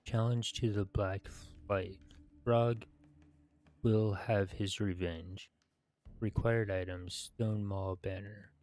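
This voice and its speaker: A man in his thirties reading game quest text aloud in measured phrases, with short pauses and some casual narration. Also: lowish voice, fairly even intonation, a little mellow. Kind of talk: scripted read-aloud with casual gameplay narration.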